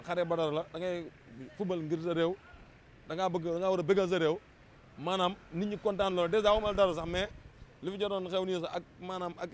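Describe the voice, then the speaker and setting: A Senegalese man giving an impassioned, emphatic opinion in a street interview, often repeating his words. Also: sits high. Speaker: street interviewee, football fan. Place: busy city street, outdoors. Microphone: handheld interview microphone. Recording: outdoor street noise. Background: traffic and street ambience.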